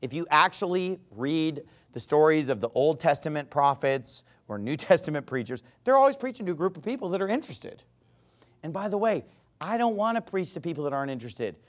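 A pastor delivering an emphatic, repetitive sermon, mocking at times. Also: male voice, a little high, sing-song, fairly quick.

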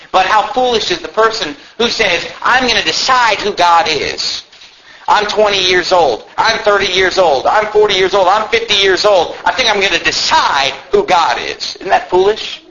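American guy preaching a sermon, sharp intense voice, kinda muffled lofi audio w a bit of noise, very emphatic. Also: a little high. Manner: emphatic, preachy, with rhetorical questions and repetition for emphasis. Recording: muffled lo-fi with faint background noise.

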